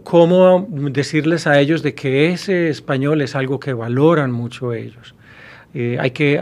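A man in his fifties with a Colombian Spanish accent, a university professor answering interview questions, pausing often with hesitations and restarts, recorded on a studio mic. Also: mid-range voice, a little mellow. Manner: thoughtful, many hesitations and restarts. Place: small studio with blue backdrop. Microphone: studio mic on boom arm.

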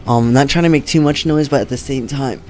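A man's voice: medium pitch, fast talker, soft background music. A young man in his twenties talking casually to camera from a home streaming setup, his voice a little worn and his talk loose and rambling with frequent swearing. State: voice weakened from treatment. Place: home streaming room, indoors.

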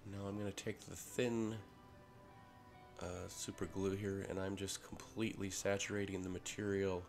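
A man with a head cold, casually talking through a workshop ring build in a relaxed way with fillers. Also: low voice, moderate pace. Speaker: maker showing a ring build. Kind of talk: casual workshop tutorial voice-over. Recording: voice-over with clear music behind.

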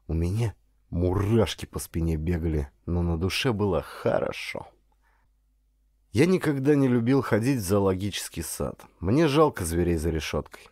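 Man reading an audiobook, lowish soft-edged voice, really lively delivery, very dry close sound. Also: dry recording. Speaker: audiobook narrator, actor. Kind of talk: expressive first-person audiobook narration.

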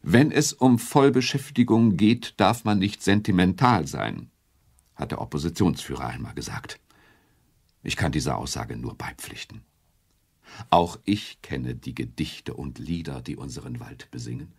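An actor playing a self-righteous head of government in a radio play, speaking in a pompous, oratorical manner. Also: male voice, a bass voice, a little slow, creaky, a little mellow, slightly rough, full character voice. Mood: solemn, insistent. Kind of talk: German radio play political address.

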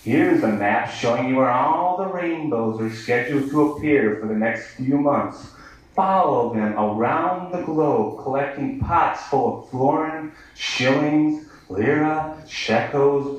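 A man's voice: medium pitch, takes it slowly. An American man in his thirties reads poetry live in a sardonic, declamatory manner. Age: 30s. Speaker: poet. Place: indoor bar venue, live reading. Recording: echoey live bar recording, distant mic.

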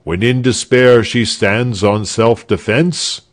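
A man's voice: lowish voice, steady tone, slow. A man voicing an earnest, slightly indignant male village spokesman recounting a visit.

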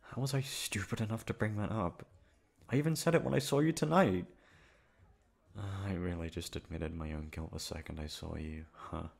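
Man acting a low, creaky, bashful young playboy. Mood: embarrassed, then tender, earnest. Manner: halting, stammering, bashful. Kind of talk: romantic ASMR roleplay acting.

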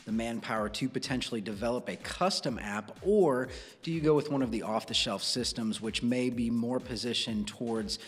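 A man's voice: mid-range voice, normal speed, breathy edge, big-room sound. An American man in his thirties presenting and discussing as a podcast host in a conversational way. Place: small dark indoor studio. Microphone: close broadcast studio microphone on boom arm.

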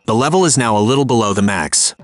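Man calmly narrating a car repair. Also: medium pitch, quick pace, faint music behind. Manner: calm, step-by-step explaining. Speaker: car repair video host. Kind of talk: scripted how-to voice-over.

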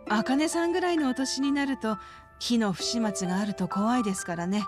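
A woman playing a gentle, devout caretaker at a religious children's home in a game, speaking politely and measuredly. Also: on the high side, brisk, over music, lightly acted. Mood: earnest, composed. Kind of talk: scripted Japanese video game dub.

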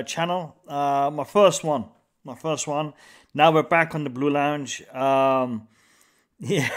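A man, hosting a casual live stream from a small home room into a studio mic, upbeat and greeting viewers by name over and over. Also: on the high side. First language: Arabic. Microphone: large studio mic on a boom, headphones.

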